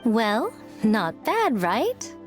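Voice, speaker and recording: A woman's voice: on the high side, wildly swooping pitch, extremely slow, soft background music, full character voice. A woman playing a centuries-old yokai lady in a video game, sly, elegant and teasing, with a poised, theatrical manner. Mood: smug. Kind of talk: video game character acting.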